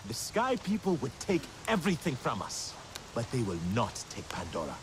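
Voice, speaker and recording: A grave, resolute alien warrior for a cinematic game. His delivery is solemn and measured, with a pitch that moves widely, over clear rain-like background noise. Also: wildly swooping pitch. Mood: defiant. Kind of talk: cinematic video game acting.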